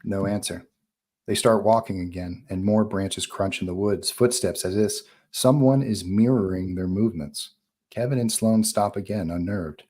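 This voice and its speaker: A man with a low voice reads stage directions for a script table read. He delivers them as narration, in short, terse phrases that set each scene. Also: normal speed, dark tone.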